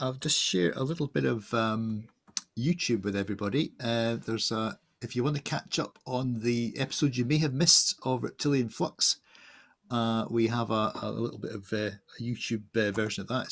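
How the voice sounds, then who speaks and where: Husky gravelly guy co-hosting online, bit halting, casual announcements. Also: mid-range voice. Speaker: event co-host. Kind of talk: informal announcements at an online event. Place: indoors on a video call.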